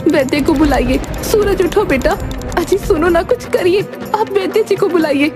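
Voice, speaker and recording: A poor village mother acted by a woman speaks in a high, raised pitch with emotional, respectful delivery. It is animated fairy-tale acting, with clear music behind. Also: normal speed. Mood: frantic, pleading. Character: poor, kindly village wife and anxious mother. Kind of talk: Hindi animated moral story dialogue. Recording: music under the voice.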